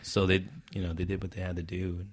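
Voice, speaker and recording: A man's voice: sits low, completely flat delivery, brisk. A middle-aged man whose first language is Vietnamese, talking casually and animatedly as a podcast guest and stunt performer.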